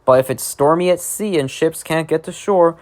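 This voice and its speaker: Higher-pitched man reading children's rhymes. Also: moderate pace. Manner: sing-song recitation of rhyming verse. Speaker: narrator, reader. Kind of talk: children's rhyme read-aloud.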